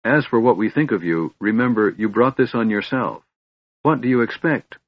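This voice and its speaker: A man's voice: medium pitch, animated, normal speed, no room sound, muffled recording, pitched above the performer's own voice, lightly acted. A man voicing a proud, stern elven elder brother in a fantasy audiobook, speaking in a haughty, formal, accusing way. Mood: scornful reproach.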